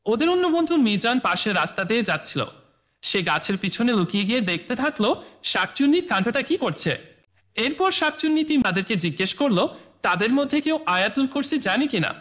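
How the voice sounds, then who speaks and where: A man's voice: high-pitched, sing-song, fast, a bit bright. A man narrating a scripted cartoon tale for children as the storyteller. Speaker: storyteller and channel host.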